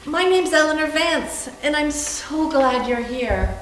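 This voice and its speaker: A woman's voice: sits high, a little slow, faint music behind, voice pushed up. A woman playing a shy, anxious, lonely female houseguest, earnest and nervous, in a staged reading. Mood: relieved, excited. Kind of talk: staged readers theater. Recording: distant mic in echoing room.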